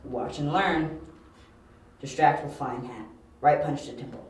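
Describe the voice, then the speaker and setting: A man's voice: fairly high pitch, unhurried, lightly acted. A man playing a confident young detective in an amateur audio drama, brash and analytical, with a dry, clipped, sardonic delivery. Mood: focused, brisk. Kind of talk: hobby audio drama acting. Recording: very echoey, distant, faint hiss.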